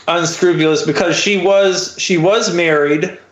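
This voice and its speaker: Guy on a podcast telling a story, kinda high voice, self-deprecating, swears a bit, echoey room. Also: steady tone, measured pace, muffled recording. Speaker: podcast guest telling his own story. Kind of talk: casual anecdotal storytelling. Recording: roomy, echoey podcast recording.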